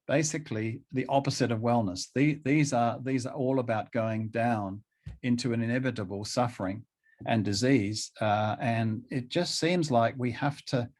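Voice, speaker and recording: Middle-aged man talking in a halting, emphatic way. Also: mid-range voice, darkish tone. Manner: halting, repeats phrases for emphasis. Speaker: interview guest. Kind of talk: conversational interview.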